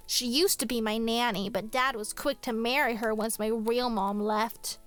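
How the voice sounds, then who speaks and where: A woman's voice: a little high, fairly even intonation, moderate pace, clean tone, faint music behind, lightly acted. A woman voicing a vain, spoiled young girl, snobbish and petulant, in a horror radio drama. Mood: scornful.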